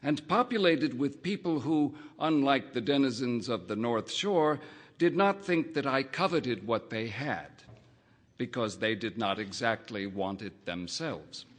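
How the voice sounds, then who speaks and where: A man reading a first-person literary short story aloud, in a measured, wry narrating manner. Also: medium pitch, lively intonation. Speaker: audiobook reader.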